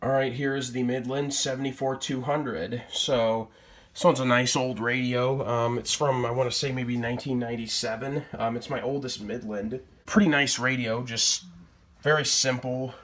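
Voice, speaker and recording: A man talks casually to viewers as he presents a collection. His delivery is plain-spoken and a little hesitant, with a middling pitch, as if thinking aloud while he shows each item. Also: steady tone, moderate pace, a bit bright. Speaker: collector presenting weather radios. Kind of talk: casual product showcase vlog.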